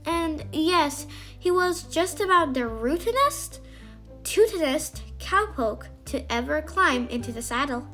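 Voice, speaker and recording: A woman narrating a scripted children's bedtime story in an expressive, emphatic way. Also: high-pitched.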